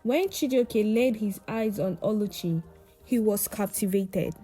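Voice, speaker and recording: Slow, mellow woman telling a folktale. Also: mid-range voice, husky, soft background music, rough recording. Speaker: folktale storyteller. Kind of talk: scripted storytelling narration.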